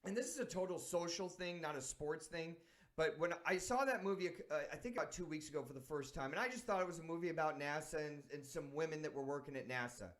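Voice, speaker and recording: A man's voice: a little high, animated, fast talker, fairly bright tone. A man from San Diego, hosting a sports talk show and reflecting earnestly in a casual way. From San Diego, United States. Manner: earnest, thinking aloud with restarts.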